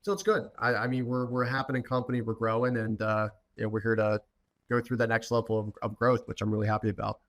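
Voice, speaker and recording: A man's voice: on the low side, flat delivery, fast talker. A youngish man from the United States, speaking informally as a podcast guest and telling his own story, often restarting and repeating words. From United States, Philadelphia. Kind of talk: personal storytelling in a podcast interview.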